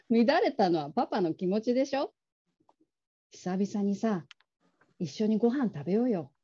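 A woman's voice: medium pitch, lively intonation, measured pace, long pauses, warm tone, slightly rough. A woman playing a young adult daughter who casually teases and wheedles her father in a radio drama. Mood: teasing, coaxing. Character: coaxing, teasing grown daughter pleading with her father. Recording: dull lo-fi audio.

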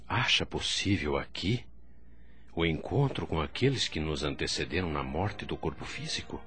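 A man's voice: rumbling low, animated, normal speed, fairly bright tone. An actor playing an earnest, emotional male doctor in the afterlife in a radio drama, pleading in formal diction. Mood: wistful, questioning. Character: earnest newly dead male doctor, longing for his mother.

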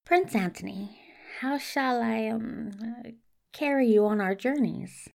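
A genteel questing hero played by a woman in an audio story, with plenty of creak in the voice. He is courteous and eager to please. He picks his words with a slightly flustered hesitance. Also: a little high, unhurried, darkish tone. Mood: polite, hesitant. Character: polite, agreeable, slightly flustered gentleman hero.

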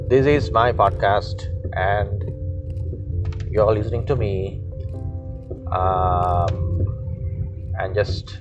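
A man from Sri Lanka, a Sinhala speaker, hosting a casual solo podcast while he drives, pausing often and filling gaps with "uh". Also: fairly low pitch. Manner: halting, many pauses and fillers. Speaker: podcast host. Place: in a moving car. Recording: dull lo-fi car recording with music.

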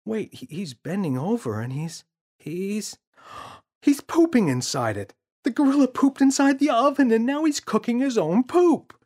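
A high, pleading storybook boy, worked up and desperate to be believed, as a grown man acts him out. His voice climbs and jumps, bright and insistent, as he reports every misdeed. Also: very animated, moderate pace. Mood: urgent, insistent. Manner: urgent, high, exasperated. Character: frustrated young boy insisting he is right.

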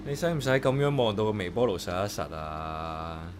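A man's voice: sits low, animated, moderate pace. Actor as laid-back guy in a radio play, wry and casual, voice swings up and down a lot, bit of background noise. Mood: lazy, grumbling. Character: easygoing man living alone with a parrot. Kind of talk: Cantonese radio drama acting and narration.

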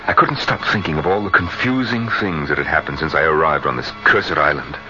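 A man's voice: very deep voice, fairly quick, music in the background. An actor playing a tormented middle-aged man, brooding and dramatic as he lives and narrates his ordeal in a radio drama. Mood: haunted, brooding. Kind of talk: first-person radio drama narration and acting. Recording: muffled 1970s radio broadcast.

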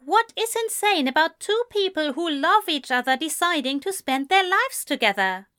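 A woman's voice: very high voice, big pitch swings, slow, bright, forceful tone, pitched above the performer's own voice, full character voice. A woman voicing an earnest, prim man in an audiobook story, with formal, precise phrasing and a firm, exasperated manner. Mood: indignant.

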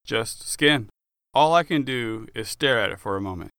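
Casting: male author-narrator for first-person audiobook fiction, forceful and clear, dramatic storyteller. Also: medium pitch, animated, moderate pace, no room sound, studio quality.

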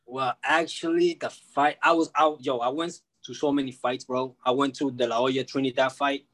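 A man's voice: mid-range voice, fairly even intonation, normal speed, a bit bright. Slangy New man in casual chat. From born in Peru, grew up in the United States from age two. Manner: slangy, peppers talk with bro, man and you know what I mean. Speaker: guest, freelance sports media journalist. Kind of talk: casual chat, telling personal stories.

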